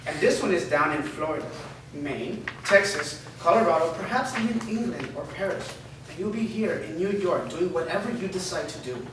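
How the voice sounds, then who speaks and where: A man's voice: on the high side, expressive, moderate pace, natural voice. Guy acting blunt selfish college-bound boyfriend in a drama reading, far from mic, noisy. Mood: offhand. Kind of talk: staged script reading. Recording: echoey room, distant mic, clear noise.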